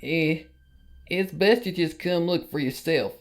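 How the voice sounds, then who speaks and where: Man voicing a folksy old granny pony, pitched up. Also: measured pace, lightly acted. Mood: worried. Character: worried elderly country granny pony.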